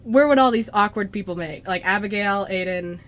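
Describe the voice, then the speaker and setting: A young woman hosting a casual radio chat, giggly and animated, often acting out bits of dialogue. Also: medium pitch, brisk, a little hiss or hum. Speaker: radio show host.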